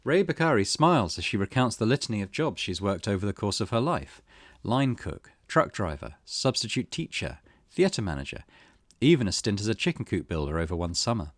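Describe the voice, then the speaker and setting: A man's voice: on the low side, sing-song, a little fast, dry recording, studio quality. A man hosting a let's play, reading game text aloud and adding his own commentary.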